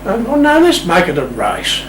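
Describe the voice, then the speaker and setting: A man's voice: sits high, wildly swooping pitch, normal speed. An Australian man being interviewed, chatting and telling stories in a discursive, unscripted way. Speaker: interview guest. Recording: roomy, distant mic, loud room hum.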